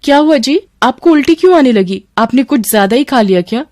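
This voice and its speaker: A woman's voice: sits high, lively intonation, a little fast, a little mellow, pitched above the performer's own voice. A woman voicing a young bride and daughter, sheepish and whiny, with a pleading, innocent manner. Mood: feigned innocence.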